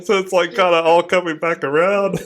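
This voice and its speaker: A man in his forties, a guest on an interview show, answering in a relaxed, hesitant way with many fillers. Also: high voice, a little fast. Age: 40s. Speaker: guest, longtime video maker. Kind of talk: casual interview chat.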